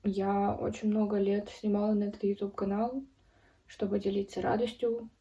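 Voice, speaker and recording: A woman in her early twenties from Ukraine giving a serious personal account as a video blogger, speaking in halting, deliberate phrases with pauses. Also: mid-range voice, level tone, dark tone, far from the mic, low-quality recording. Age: early 20s. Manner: serious, solemn. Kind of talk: personal account spoken to camera.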